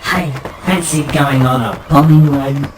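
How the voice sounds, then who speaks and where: A woman's voice: a bass voice, a little slow. A woman voicing a world-weary, grouchy city seagull, complaining in dry slang in a comic audio drama. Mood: resigned. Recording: echoey, loud noise with animal sounds.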